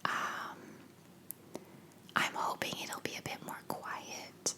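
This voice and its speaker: A young woman from Belgium with an American accent, whispering answers to viewer questions in a rambling ASMR Q&A at home on a sensitive microphone. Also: slow pace. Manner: soft unvoiced whisper, rambling with fillers. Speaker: ASMR creator. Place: home living room.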